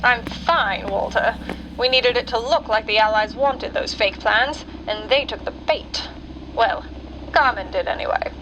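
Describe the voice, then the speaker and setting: A woman playing a brisk, secretive undercover wartime agent in a radio drama. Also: on the high side, dull audio, background noise, lightly acted. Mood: calm, explaining. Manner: urgent, scolding.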